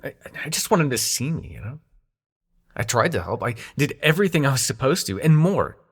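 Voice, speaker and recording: A man's voice: mid-range voice, very animated, fairly quick, slightly rough. A man acting in an intimate audio roleplay as a young god of dreams, sarcastic and wounded but fond, talking in casual, sweary modern slang. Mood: hurt, vulnerable.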